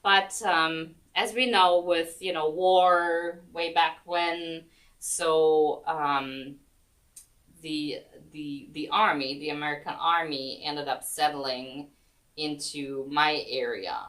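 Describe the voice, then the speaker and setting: A woman's voice: deep voice, fairly even intonation, slow, fairly bright tone, recorded from a distance. A woman from Germany, a chair yoga instructor chatting as an interview guest, rambling with lots of ums and restarts. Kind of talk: casual storytelling interview. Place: senior center room.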